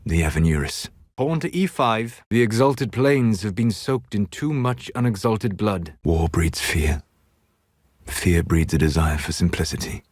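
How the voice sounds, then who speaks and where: A man playing a solemn, learned elven mage in a video game, proud and regretful, speaking in a measured, formal way. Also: on the low side, lively intonation, a little mellow, no echo, studio quality. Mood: dry, composed. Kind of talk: scripted game dialogue.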